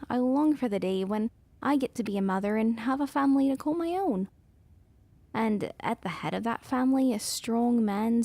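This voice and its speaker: A woman acting a demure, mellow-voiced young lady. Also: a little high, no echo. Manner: proper, polite, soft-mannered. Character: demure, agreeable, determined nineteen-year-old society lady. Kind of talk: period audio drama acting.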